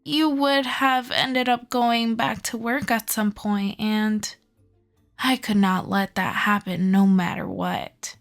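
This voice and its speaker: A woman's voice: mid-range voice, fairly even intonation, slow, gravelly, soft background music. A woman playing an obsessive, sweetly possessive young kidnapper in love, coaxing and doting in an ASMR audio roleplay. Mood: doting, reassuring. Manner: doting, coaxing, softly controlling.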